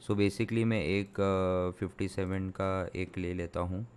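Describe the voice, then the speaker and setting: A young man, a sound engineer from India, explains software step by step in a tutorial, thinking aloud with many fillers and restarts. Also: deep voice, level tone, slow pace, smooth. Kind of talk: screen-recorded software tutorial.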